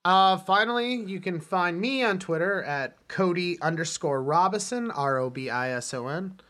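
A man with a fairly high, bright voice co-hosts a film podcast. He chats casually and speaks bluntly, like someone among friends. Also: animated, moderate pace. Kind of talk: casual chat.

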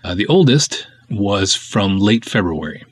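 A man's voice: fairly low pitch, sing-song, a little slow, husky, no echo. A man hosting a podcast in a casual, conversational style, talking and reading aloud with wry, snarky sarcasm. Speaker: podcast host and filmmaker.

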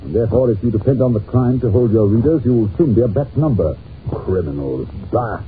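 Brilliant, irritable English sleuth male actor, British, very animated and exclamatory, radio drama, muffled recording with clear noise. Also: lowish voice, brisk, lightly acted. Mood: scornful. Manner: brusque, pompous, exclamatory. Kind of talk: old-time radio drama acting. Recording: muffled, noisy old-time radio recording.

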